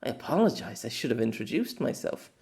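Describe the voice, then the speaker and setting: A man's voice: medium pitch, very animated, a little fast, vocal fry, a little mellow. A man voicing a cheerful, gallant young woman knight with courtly, archaic phrasing in an audiobook. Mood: formal, proud. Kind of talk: audiobook character voice.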